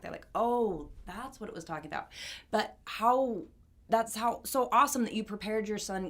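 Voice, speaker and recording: A woman speaks as a guest in a casual conversation. Her voice sits a little low, and her manner is enthusiastic and encouraging. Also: vocal fry, a bit bright. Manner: enthusiastic, supportive. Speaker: schoolteacher as podcast guest. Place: studio.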